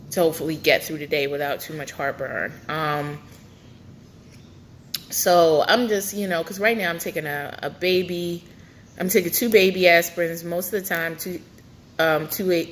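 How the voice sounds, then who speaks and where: A woman's voice: low voice, fairly bright tone, slight background noise. A young woman vlogging a casual pregnancy update, chatty and rambling with many fillers, her nose stuffed up.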